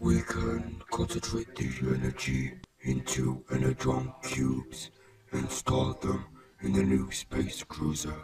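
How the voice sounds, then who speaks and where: A man's voice: extremely low pitch, over loud music, heavily acted. A man playing a cold, menacing cartoon robot lieutenant with a vocoder effect and clipped commands. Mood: cold, commanding. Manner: slow, flat, clipped commanding delivery. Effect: robotic vocoder filter. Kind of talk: hobby voice impression of a cartoon villain robot.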